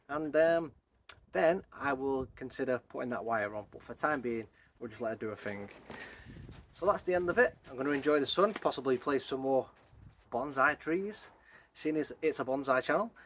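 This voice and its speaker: A man with a croaky voice talking casually through his bonsai work as host of his channel, thinking aloud as he goes, outdoors. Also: medium pitch, fairly bright tone, slight background noise. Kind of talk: casual hands-on tutorial talk.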